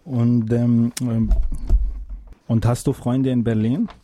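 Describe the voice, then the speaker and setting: A man interviewing young guests, asking questions gently and hesitantly with frequent fillers. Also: medium pitch, level tone, slow pace, slight background noise. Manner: hesitant, many fillers, encouraging.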